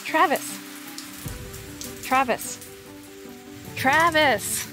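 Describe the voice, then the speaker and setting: A woman's voice: sits high, drawn-out words, music in the background. A woman playing a playful, slightly exasperated teenage schoolgirl sweetheart in an audio drama.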